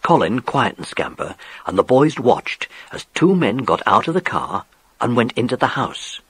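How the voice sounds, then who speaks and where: A man narrating a children's adventure story as scripted narration, with a husky voice. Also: medium pitch, very animated, moderate pace, fairly bright tone, no echo.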